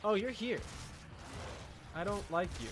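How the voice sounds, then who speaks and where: A man's voice: sits high, animated, measured pace, a little hiss or hum. A man giving casual gaming livestream commentary in short, playful asides, as if talking to the game and chat. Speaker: livestream host.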